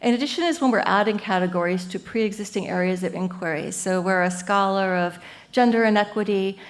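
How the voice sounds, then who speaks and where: A woman professor giving an academic lecture at a symposium, lively and earnest, explaining ideas through everyday metaphors. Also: medium pitch, fairly quick. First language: English. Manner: lively and earnest, with frequent "you know" and "um".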